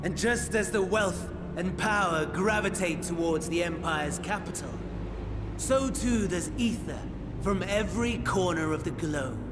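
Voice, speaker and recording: A man's voice: high-pitched, measured pace, background noise. An actor playing a smug, scheming imperial servant in a video game, grandiose and mock-reverent as he savors each phrase. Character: theatrical, smug villain and scheming male servant of empire. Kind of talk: dramatic video game cutscene acting. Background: background music.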